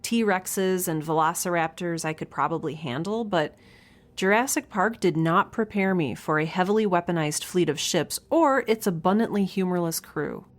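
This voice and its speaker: A woman's voice: lowish voice, moderate pace, close to an everyday voice. An actress playing a young researcher alone on a spaceship, chatting into her log in a wry, rambling, nervous and self-deprecating way. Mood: rueful, joking. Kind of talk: audio drama, spoken log in a casual chatty manner.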